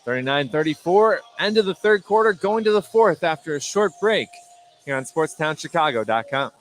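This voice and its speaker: Higher-voiced man calling basketball over gym noise. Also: animated, moderate pace. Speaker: play-by-play basketball announcer. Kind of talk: live sports radio commentary. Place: indoor high school gym during a game. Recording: noisy gymnasium with bouncing basketballs. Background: game noise, bouncing basketballs.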